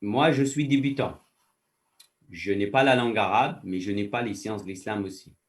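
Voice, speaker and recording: A man's voice: lowish voice, normal speed, far from the mic. A man, a faculty director, giving explanatory answers as an interview guest and patiently walking through first-person examples.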